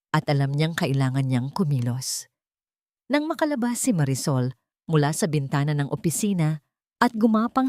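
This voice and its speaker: Woman reading a drama audiobook, kinda low voice, really dry recording. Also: darkish tone, dry recording. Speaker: audiobook narrator. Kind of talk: scripted dramatic story narration.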